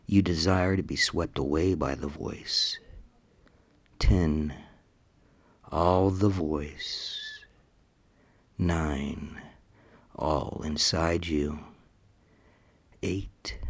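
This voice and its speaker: Male, very deep, glacially slow hypnotic countdown, steady and hushed. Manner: steady, measured, monotone counting with soft whispers.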